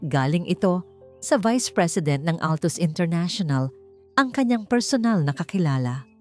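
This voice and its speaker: A woman reading a scripted romance story in a measured, dramatic narrator's delivery. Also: fairly low pitch, animated. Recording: music under the voice. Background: background music.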